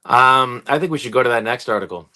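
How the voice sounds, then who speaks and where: A man's voice: mid-range voice, quick pace, bright, forceful tone. A man co-hosting a casual talk show, bantering and laughing easily. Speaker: podcast co-host. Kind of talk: casual chat.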